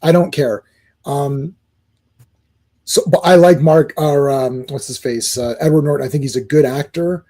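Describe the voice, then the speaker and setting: A man co-hosting a casual podcast, talking in an animated, rambling way with many restarts. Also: on the high side, normal speed. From Croatian family, born locally. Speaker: podcast co-host. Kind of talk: casual chat.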